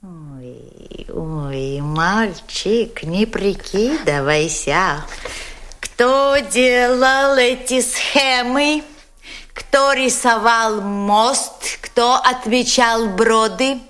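An actress playing a falsely sweet German woman interrogator, coaxing and wheedling with drawn-out theatrical phrasing. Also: on the high side. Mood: pressing, impatient. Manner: syrupy, drawn-out, theatrical phrasing with repeated soft words. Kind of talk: dramatic acting.

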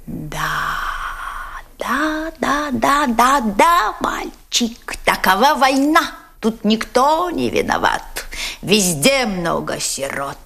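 A woman's voice: fairly high pitch, sing-song, creaky, breathy edge, full character voice. Syrupy, coaxing woman interrogator, swooping intonation. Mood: coaxing, falsely tender. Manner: syrupy, drawn-out, theatrical phrasing with repeated soft words. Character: German woman interrogator, falsely sweet and coaxing, wheedling. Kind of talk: dramatic acting.

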